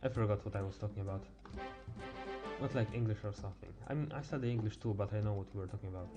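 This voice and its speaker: A teenage male game streamer thinking aloud in casual live chat, halting and stumbling over words as he goes. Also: fairly low pitch, dull audio, music in the background. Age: teens.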